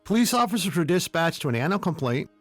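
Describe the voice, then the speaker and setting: A man's voice: fairly high pitch, very animated, fast, fairly bright tone, dry recording, faint music behind. A man hosting a podcast, telling humorous stories in a casual, wry, tongue-in-cheek way. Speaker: podcast host. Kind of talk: casual humorous storytelling commentary.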